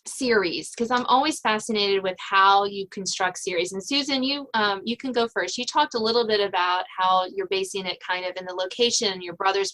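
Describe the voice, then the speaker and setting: An American woman hosts a relaxed, informal interview in a very bright, crisp-edged voice of average pitch. She talks in a halting, conversational way as she puts questions to her guests. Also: poor audio. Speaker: podcast host. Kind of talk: casual interview chat.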